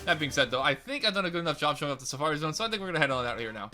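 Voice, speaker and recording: A young man commentating a video game playthrough in a chatty, rambling way, his voice slightly raspy and crackly today. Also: on the high side, lively intonation, rapid-fire, sharp tone, soft background music. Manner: rambling, chatty, self-correcting. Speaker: let's play host.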